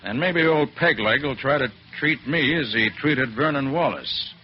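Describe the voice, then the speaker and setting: An actor playing a shrewd, confident master detective in an old radio drama, speaking in a crisp, formal, self-assured manner. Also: male voice, mid-range voice, normal speed, natural voice. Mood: confident, determined. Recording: muffled, noisy vintage radio broadcast.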